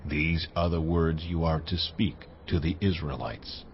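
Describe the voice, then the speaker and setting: A man playing God in a dramatized scripture recording, slow, weighty and authoritative, with a solemn commanding manner. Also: very deep voice, muffled recording, slight background noise. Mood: solemn, majestic.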